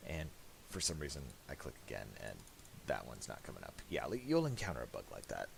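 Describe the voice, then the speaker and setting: A man presenting a casual software tutorial in a halting, mumbled way, on a hissy home recording with clicks. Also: a bass voice, wildly swooping pitch, creaky.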